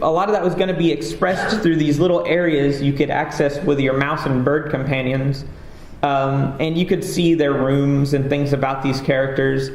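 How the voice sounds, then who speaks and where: Chatty man in an echoey hall. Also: medium pitch. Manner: chatty, filler-heavy, self-deprecating. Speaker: game developer giving a talk. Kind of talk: conference talk, casual and rambling. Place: conference stage. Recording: echoey hall with faint ambience.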